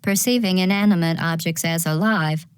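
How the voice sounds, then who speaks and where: Woman voicing sinister female game AI, low, smooth, clinical, falsely courteous announcements. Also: normal speed, dry recording, close to an everyday voice. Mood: clinical, detached. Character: coolly sinister female artificial intelligence running a test facility. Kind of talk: scripted video game announcements.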